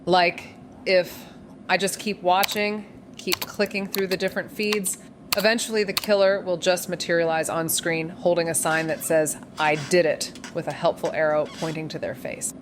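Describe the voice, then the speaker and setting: Actress playing a low-voiced, sardonic young female sleuth. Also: steady tone, moderate pace, noisy background, lightly acted. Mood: wry, weary. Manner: sweary, wry, defiant. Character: sleepless, sardonic, obsessive young woman amateur detective. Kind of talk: first-person audio drama narration and dialogue.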